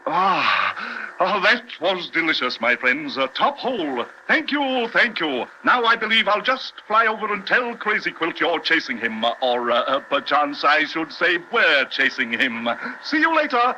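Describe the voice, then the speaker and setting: A self-important old-radio stork, a weary globetrotter with a sharp, highish voice that swoops grandly. He talks in florid, genteel flourishes, heard through a dull 1930s recording. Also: big pitch swings, unhurried, a little hiss or hum, heavily acted. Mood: smug, gleeful. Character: pompous, weary male stork, globetrotting writer. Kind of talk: 1930s children's radio acting.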